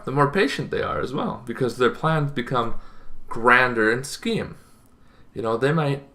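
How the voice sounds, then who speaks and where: A young man with a bright, forceful voice at a medium pitch hosts an informal explainer. He talks conversationally and with animation, often thinking aloud and adding asides. It sounds like someone speaking to a camera in a small room at home. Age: young adult. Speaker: host of a tabletop roleplaying advice channel. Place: small bedroom, indoors.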